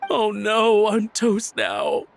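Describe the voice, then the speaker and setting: An actor playing a nervous, grovelling antique collector in a video game, flustered and prone to stammering. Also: male voice, sits high, level tone, slow, gravelly, slight background noise, heavily acted.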